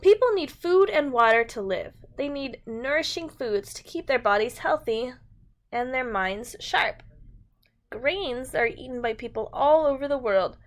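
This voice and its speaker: A woman's voice: high-pitched, expressive, a little slow. A woman reading a picture book aloud to young children and chatting to them in simple, encouraging language.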